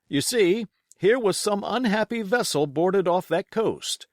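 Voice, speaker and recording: Man putting on an excitable, high-pitched blustering squire. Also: measured pace, no room sound, clean studio sound. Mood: indignant, certain. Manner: emphatic, exclamatory, commanding. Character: blustering, excitable country squire, loud and eager. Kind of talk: audiobook character voice.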